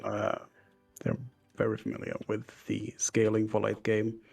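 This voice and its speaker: Norwegian guy co-casting esports, low gravelly husky voice, kinda hesitant, dry jokes, light music behind. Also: completely flat delivery, unhurried, a little mellow. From Norway. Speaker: esports co-caster. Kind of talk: casual esports commentary.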